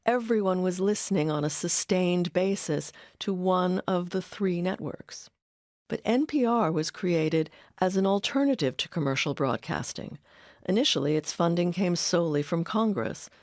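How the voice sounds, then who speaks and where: A woman with an American accent giving scripted documentary narration in a measured, articulate way. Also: lowish voice, darkish tone, dry recording, studio quality.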